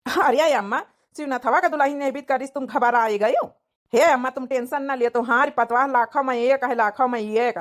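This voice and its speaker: A woman doing a high-voiced Awadhi village son. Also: moderate pace, natural voice. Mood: reassuring. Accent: Awadhi, rural north India. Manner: coaxing, repetitive. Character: doting, reassuring young village son and groom. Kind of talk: cartoon comedy dialogue.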